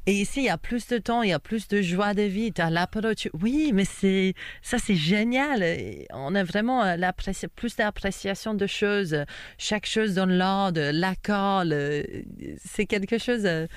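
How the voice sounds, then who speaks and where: Chatty Australian woman, crisp and clear. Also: medium pitch, no room sound. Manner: lively, laughs often, many fillers. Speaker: guest. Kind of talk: casual interview chat.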